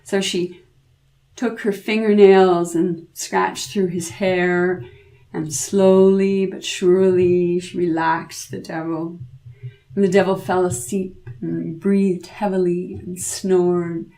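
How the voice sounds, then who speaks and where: A woman telling a folk tale aloud in an informal, expressive way, with dramatic pauses and repetition. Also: fairly low pitch, low-quality recording. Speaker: storyteller, podcast host.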